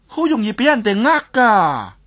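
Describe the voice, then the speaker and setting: A woman voicing a mischievous, willful young girl who wheedles and pleads in a drawn-out way. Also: fairly high pitch, sing-song, fairly bright tone. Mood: coaxing. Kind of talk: Cantonese storytelling dialogue.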